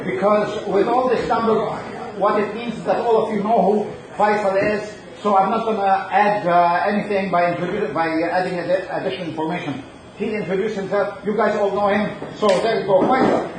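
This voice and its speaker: A man's voice: high voice, fairly even intonation, fairly quick, background noise. A man hosting the event, giving a short, stumbling introduction before the guest speaker. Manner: stumbling, light. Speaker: event host. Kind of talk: brief introduction. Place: large hall with audience. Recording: echoey hall from far off.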